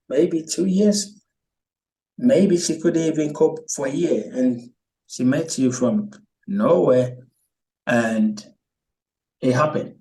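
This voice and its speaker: A man from Ghana, a guest in a casual chat, telling stories in a hesitant, relaxed way with many pauses and ums. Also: medium pitch, distant mic, poor audio.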